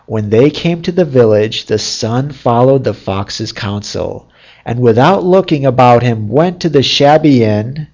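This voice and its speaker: A storyteller reading a fairy tale as scripted narration in a measured, deliberate way. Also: mid-range voice, darkish tone, lo-fi sound.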